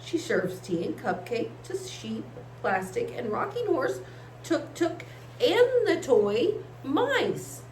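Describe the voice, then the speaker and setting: A woman reading aloud to children like a teacher, expressive and encouraging. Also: sits high, slow pace. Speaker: story reader, teacher. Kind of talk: read-aloud storytelling for children. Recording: echoey room, faint background hiss.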